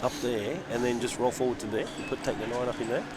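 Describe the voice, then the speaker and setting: A man's voice: medium pitch, steady tone, quick pace, crowd noise. A man giving casual live co-commentary on a pool match, chatting with his partner. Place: pool venue, close to the players. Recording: loud noise at live venue.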